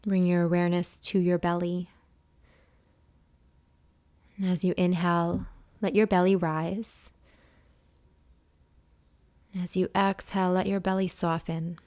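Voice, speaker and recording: A woman guides a body scan meditation in a lowish, very mellow voice. She speaks in a hushed, soothing manner and leaves long pauses between gentle instructions. The sound is dry, with no room echo. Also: level tone, clear, resonant tone. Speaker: yoga and meditation teacher.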